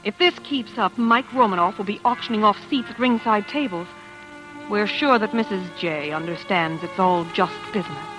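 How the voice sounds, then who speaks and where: An actress playing an anxious, devoted wife in a 1940s radio suspense drama, fretful and dramatic. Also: female voice, medium pitch, moderate pace, lightly acted, poor audio. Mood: tense, brooding. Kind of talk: 1940s radio suspense acting, first-person narration and dialogue. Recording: old radio drama with music behind.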